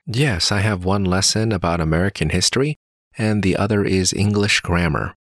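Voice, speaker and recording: A man reading lines of a scripted dialogue slowly and carefully, as in a listening test. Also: low voice, no echo, clean studio sound.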